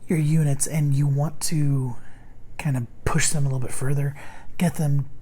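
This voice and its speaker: Hoarse man rambling through a card review. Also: on the high side, little pitch movement, mellow. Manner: rambling, halting, with many ums and restarts. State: hoarse, losing his voice. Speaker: host reviewing a card game deck. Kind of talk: casual unboxing and card review.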